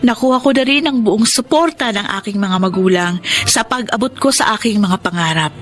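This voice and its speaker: An actress playing a hopeful, earnest teenage honor student giving a monologue in a Filipino radio drama. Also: female voice, medium pitch, animated, brisk, natural voice. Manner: earnest, scripted. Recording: radio drama with music behind.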